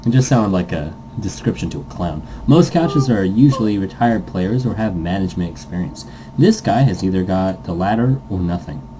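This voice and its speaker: A man's voice: low voice, expressive, normal speed, background noise. A man hosting a game playthrough, rambling and thinking aloud in casual commentary as he reads game text and reacts to it. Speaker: host of a visual novel playthrough series.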